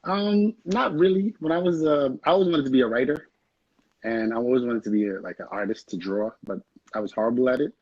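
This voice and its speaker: A man's voice: mid-range voice, fairly quick, a little mellow, slightly rough, no echo. A young man from Haiti, a film director, chatting casually and rambling in an interview, laughing often. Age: young adult. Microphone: video call. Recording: dull lo-fi video call audio.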